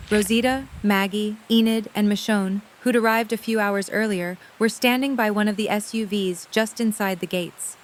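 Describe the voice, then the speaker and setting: A woman narrating a fan audio drama in a calm, even storytelling tone. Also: mid-range voice, a little hiss or hum, low-quality recording. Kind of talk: scripted narration.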